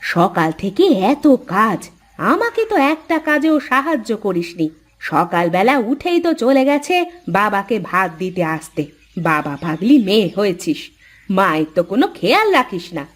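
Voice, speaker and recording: A woman's voice: medium pitch, very animated, moderate pace. A woman voicing a nagging, hardworking village mother in a moral-story cartoon, chiding and complaining as she talks.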